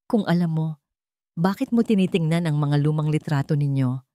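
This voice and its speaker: A worried, jealous lover played by a woman narrator speaks firmly in a romance tale. His voice is mellow and dry, insistent with concern. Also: sits low, normal speed, no echo, natural voice. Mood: firm warning. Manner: firm, insistent. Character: worried, jealous man warning the woman he loves.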